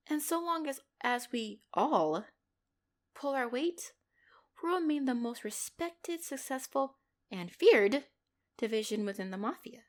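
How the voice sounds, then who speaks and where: A woman playing a commanding, possessive female mafia boss in a dramatic audio roleplay, condescending and controlled, lacing mock sweetness with threats. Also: fairly high pitch. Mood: mock-sweet, then stern, commanding.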